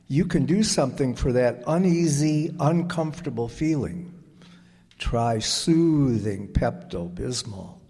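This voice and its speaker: Casting: male vintage radio announcer, unhurried and soothing, warm tone, long hall reverb. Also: fairly high pitch. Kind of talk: old-time radio advertisement and show announcing.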